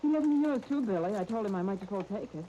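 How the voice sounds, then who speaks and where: An actress playing a brash, plain-spoken young city girl in a 1930s radio serial, hurried and slangy, on an old recording. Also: mid-range voice, expressive, dark tone, close to an everyday voice. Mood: brisk. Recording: muffled, noisy 1930s radio disc.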